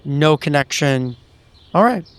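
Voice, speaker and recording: A man's voice: on the high side, lively intonation, unhurried, clean tone, no echo. A man talking casually as a player in a tabletop roleplaying game.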